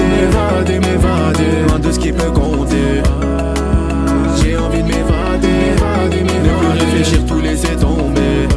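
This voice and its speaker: A woman singing a repetitive Afro-pop song. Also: low-pitched. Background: Afrobeat backing music.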